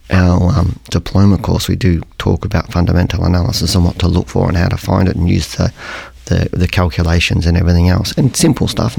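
Croaky, very low-voiced man. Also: a little mellow, no room sound. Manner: rambling, self-correcting, conversational explainer. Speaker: podcast host. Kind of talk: casual educational podcast monologue.

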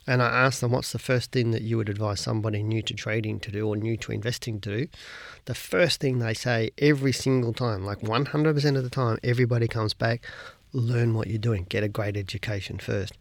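A man hosting a solo podcast, giving casual advice in a coaching manner full of repeated rhetorical questions, his voice a little croaky this morning. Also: medium pitch, steady tone, moderate pace, no echo. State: slightly croaky voice. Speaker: podcast host.

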